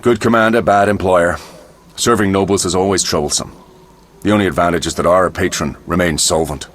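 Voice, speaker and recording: Low-voiced, wry veteran mercenary. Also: male voice, steady tone, fairly quick, a bit bright, a little hiss or hum. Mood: wry, weary. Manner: clipped phrases, wry, weary. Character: world-weary male mercenary quartermaster, wry veteran soldier. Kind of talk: video game dialogue acting.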